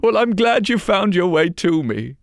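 A weeping old woman in rags, cryptic and sorrowful, her voice high and quavering with tears, as a male storyteller puts her on. The voice lifts well above his own and swings widely. It sounds dry and close. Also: very animated, normal speed, vocal fry, no room sound, heavily acted. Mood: tearful.

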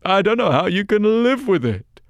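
A man voicing a ragged old woman who weeps as she speaks cryptically, in a high, tearful, quavering voice. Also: very animated, normal speed, no echo, dull audio.

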